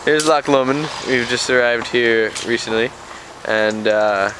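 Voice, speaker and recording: A man's voice: mid-range voice, unhurried, far from the mic. A young man in his twenties casually narrating a road trip from inside a car, joking like a tour guide. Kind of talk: casual travel commentary. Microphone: handheld camera at arm's length. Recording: loud car noise, slightly off-mic.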